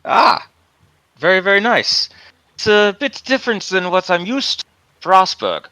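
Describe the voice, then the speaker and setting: Man doing a bright, eager mouse-folk adventurer. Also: high-pitched, sing-song, unhurried, no echo, voice pushed up, exaggerated voice, rough recording. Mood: polite. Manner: eager, polite, stumbling restarts.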